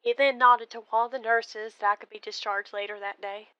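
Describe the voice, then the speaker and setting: A woman tells a scripted ghost story with deliberate, expressive delivery. Her voice sits a little high. The sound is very dry and somewhat dull, like a lo-fi close recording. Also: clear, resonant tone, dry recording. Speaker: storyteller calling in to a horror radio show. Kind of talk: dramatic scripted first-person ghost story narration.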